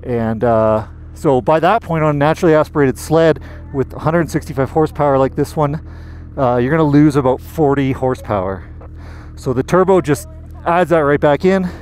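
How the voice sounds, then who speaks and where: Stoked canadian dude on a sled in the backcountry, helmet cam, loud engine hum behind him. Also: male voice, a little high, moderate pace. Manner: enthusiastic, explaining while riding. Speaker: magazine editor reviewing a snowmobile. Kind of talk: casual ride-along commentary. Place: outdoors in deep snowy forest on a snowmobile. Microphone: helmet-mounted action camera. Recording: engine and wind noise.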